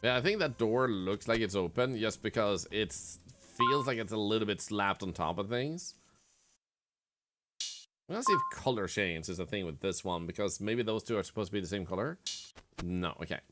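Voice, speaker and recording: A man's voice: fairly low pitch, animated, normal speed. Guy streaming a game, mutters counts then rants at the screen, voice swings all over, music going behind. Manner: mutters counts, bursts into loud exasperated rants. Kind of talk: casual gameplay commentary.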